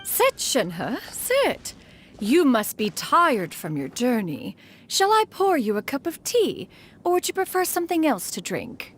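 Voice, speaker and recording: A woman's voice: high voice, huge pitch swings, measured pace, slight background noise, exaggerated voice. A woman voicing a formal, scheming immortal who speaks in archaic, self-referential phrasing, in a video game. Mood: genteel. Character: old adeptus woman, prim, scheming, refers to herself as "one". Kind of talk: game voice acting.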